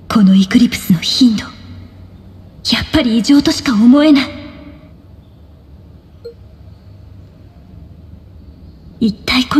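A woman's voice: fairly high pitch, darkish tone, husky. An actress playing a composed, serious teenage schoolgirl demon fighter in an anime-style video game, cool and matter-of-fact. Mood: quietly pensive.

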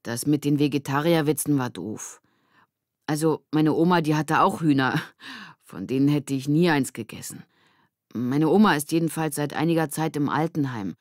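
A woman's voice: sits low, moderate pace, no room sound, clean studio sound, lightly acted. A woman voicing a blunt, casual schoolboy in a children's audiobook, in standard German. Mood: offhand.

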